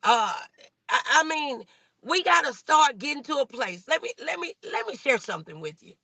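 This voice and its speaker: Lady doing morning motivation preaching from her car, bright voice, real dry sound, folksy n repeats herself for emphasis. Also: high voice, very animated, normal speed, no room sound. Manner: exhorting, repetitive phrasing; folksy, colloquial. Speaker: host of a morning motivational show. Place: inside a car.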